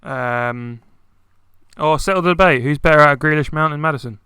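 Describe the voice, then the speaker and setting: A young man chatting casually about football, dropping "like" into nearly every phrase. His voice is bright and very clear. The sound is dry and close, with little room. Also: on the high side, a little fast, dry recording. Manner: chatty, many "like" fillers. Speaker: podcast co-host.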